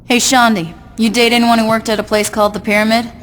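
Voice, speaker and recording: A woman's voice: on the high side, level tone, fast talker, natural voice. A woman voicing a tough, brazen gang boss in a video game, blunt, flippant and commanding. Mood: irritated, determined. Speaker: gang leader. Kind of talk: video game cutscene acting.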